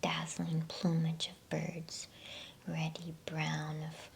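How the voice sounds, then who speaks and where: A woman whispering breathily and gently in a hushed ASMR style, as a host reading a bedtime storybook. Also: sits low, completely flat delivery, vocal fry, a little hiss or hum. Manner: gentle, slow, hushed delivery.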